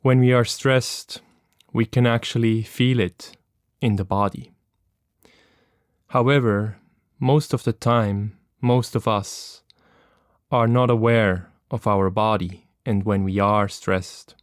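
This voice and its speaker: A man with a smooth, clear voice guides a meditation at a very slow pace. His delivery is gentle and calm, leaving long pauses between instructions. His pitch is medium and the sound is close and dry. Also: dry recording.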